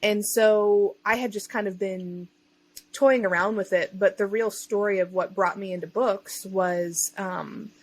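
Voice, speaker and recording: A woman's voice: mid-range voice, steady tone, a little slow. A woman speaking as a podcast guest in a chatty, informal way, telling her own story in casual conversation. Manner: chatty, informal, self-interjecting. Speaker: self-employed digital marketer and online bookseller.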